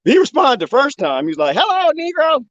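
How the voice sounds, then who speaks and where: Animated man rambling outdoors, lo-fi phone. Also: extremely high pitch, normal speed. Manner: animated, joking, imitates bird chirps. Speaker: live streamer. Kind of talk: rambling outdoor live stream. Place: outdoors in the desert.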